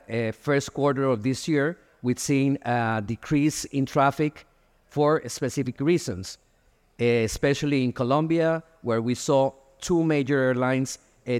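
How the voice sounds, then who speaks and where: A man speaking as a panelist at a conference, explaining figures in a measured way with frequent fillers. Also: mid-range voice. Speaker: airline association panelist. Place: conference hall. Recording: reverberant conference hall.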